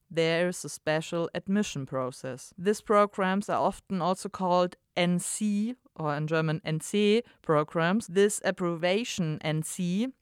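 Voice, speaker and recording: A woman hosts a podcast, introducing her guest and explaining things in a friendly, clear manner. Her voice is smooth and slightly low. The sound is dry and close. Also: dry recording. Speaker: podcast host. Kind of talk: podcast interview.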